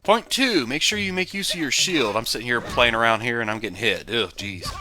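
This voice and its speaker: A man giving casual, jokey, rambling commentary on a video game he is playing, with sudden loud whoops. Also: medium pitch, lively intonation, a little fast. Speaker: let's play commentator. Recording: game music behind voice.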